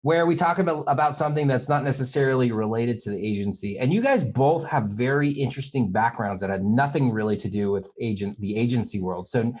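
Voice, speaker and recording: A man's voice: mid-range voice, brisk, few pauses, darkish tone, lo-fi sound. A man hosting a podcast interview, leading his guests in a friendly, upbeat way. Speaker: podcast host.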